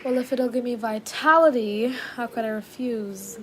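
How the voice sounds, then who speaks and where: A fussy, strict wife and mother with a high voice, played by a young woman. The student radio drama is faintly noisy, with birds behind her. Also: moderate pace, a bit bright, close to an everyday voice. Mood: curious.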